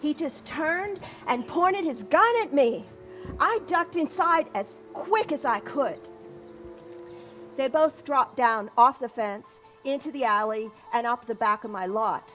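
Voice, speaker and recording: A woman playing a doctor's wife of the 1910s, giving a deliberate eyewitness account as a scripted historical monologue. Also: high voice, fairly bright tone, low-quality recording. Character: doctor's wife recounting witnessing a robbers' chase in 1910s.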